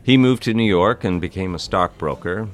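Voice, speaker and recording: Man playing a deep-voiced charming young bachelor. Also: normal speed, natural voice. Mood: wry, wistful. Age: young adult. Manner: smooth, teasing, courteous. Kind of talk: period romantic audio drama acting.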